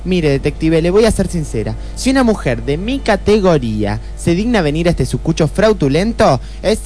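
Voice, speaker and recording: Imperious Argentine femme fatale widow acted by a man, high affected voice, comic radio drama, music under. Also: sing-song, normal speed, darkish tone. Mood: haughty, threatening. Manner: affected, indignant. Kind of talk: comic radio play acting.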